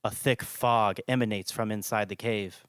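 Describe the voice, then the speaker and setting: Low-voiced man narrating, dry recording. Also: steady tone, normal speed, fairly bright tone, clear, resonant tone, no echo. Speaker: narrator, podcast host. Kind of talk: scripted audio drama narration.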